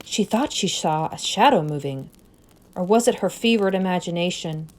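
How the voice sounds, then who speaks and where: A woman reading a scary story aloud as narrator, expressive and suspenseful, with deliberate phrasing. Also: lowish voice. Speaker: story reader.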